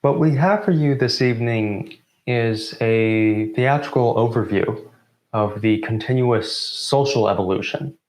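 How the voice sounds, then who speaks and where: A man in his twenties giving a formal, deliberate welcome speech as co-founder of a student theatre group. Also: mid-range voice, darkish tone. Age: 20s. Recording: roomy, echoing sound.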